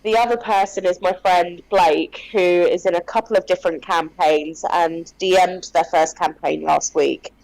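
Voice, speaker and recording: A woman's voice: on the low side, fairly even intonation, normal speed, gravelly, hardly any pauses, darkish tone, poor audio. Woman, stuffy with a cold. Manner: chatty, rambling, peppered with ums. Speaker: player. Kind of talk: casual chat between game sessions.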